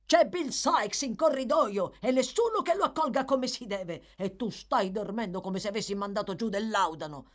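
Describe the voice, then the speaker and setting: A woman voicing a loud, hoarse, boisterous male burglar in an audiobook, shouting orders with mocking politeness and jovial menace. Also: medium pitch, big pitch swings, measured pace. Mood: bellowing, impatient. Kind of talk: audiobook character voice.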